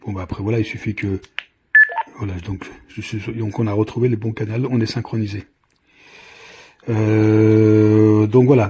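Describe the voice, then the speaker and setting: Husky, low-toned man demonstrating a product. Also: warm tone. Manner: hesitant, halting explanation with trailing restarts. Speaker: product reviewer. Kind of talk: casual hands-on product demonstration.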